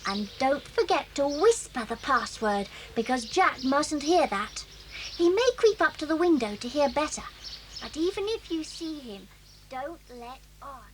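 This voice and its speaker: A bossy little schoolgirl, boastful and sly. The voice is high and very animated, commanding in a children's radio-play way, on a clearly noisy old recording. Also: a little slow. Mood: excited, scheming. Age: child figure. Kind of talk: children's audio drama acting.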